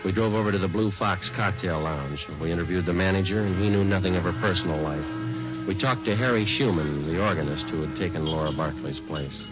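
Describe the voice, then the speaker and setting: An actor playing a stoic police detective in a 1940s radio drama, narrating in a clipped, matter-of-fact way. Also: male voice, deep voice, a little fast, lightly acted. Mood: businesslike, deadpan. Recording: muffled old radio recording with loud music.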